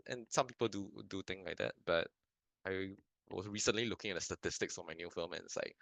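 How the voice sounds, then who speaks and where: Deep-voiced hesitant young man from Singapore. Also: vocal fry, bright, forceful tone, no room sound. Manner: hesitant, many restarts and fillers, thoughtful. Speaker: filmmaker guest. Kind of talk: casual interview answers. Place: lives in Canada.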